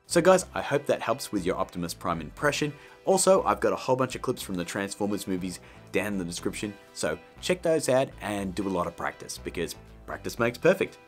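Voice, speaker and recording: A man in his thirties hosting a casual software tutorial in an informal, jokey and emphatic way. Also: lowish voice, big pitch swings, fairly quick, faint music behind. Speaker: video tutorial host.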